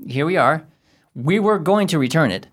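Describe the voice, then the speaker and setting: Actor as an anxious husband, lively pitch. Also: male voice, normal speed. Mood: uneasy, yielding. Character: anxious, compliant husband facing gangsters. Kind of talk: old-time radio thriller acting.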